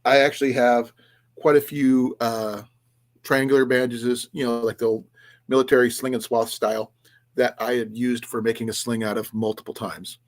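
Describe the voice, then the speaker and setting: A man with a slightly raspy voice hosting a casual livestream, talking in a halting, rambling way with restarts and trailing asides as he chats with viewers. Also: mid-range voice, level tone. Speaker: livestream host of an outdoors and preparedness channel. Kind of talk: casual livestream chat, reading and answering viewers' comments.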